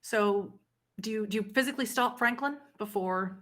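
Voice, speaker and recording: A woman speaking as game master, narrating a tabletop horror roleplay game in a measured, descriptive way. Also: medium pitch, a bit bright.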